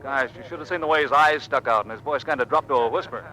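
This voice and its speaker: A man's voice: medium pitch, fast, bright, forceful tone, lightly acted. An actor playing a cocky young male fighter pilot, breezy and wisecracking, in old radio drama style. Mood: amused, boastful. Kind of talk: 1940s radio acting. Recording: faintly noisy vintage radio recording.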